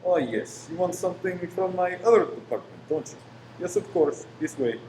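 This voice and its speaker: A man's voice: high-pitched, animated, normal speed. A man acting in a radio play as a polite, reassuring shop professor who is secretly a bomb-making conspirator. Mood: obliging. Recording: roomy echo with faint noise.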